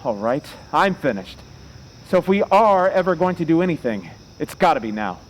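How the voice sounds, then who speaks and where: Man acting a terse, scheming husband, wide-swinging pitch. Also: sing-song, normal speed, low-quality recording. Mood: tense, conspiratorial. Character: movie star's resentful, scheming husband. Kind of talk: old-time radio drama recreation acting.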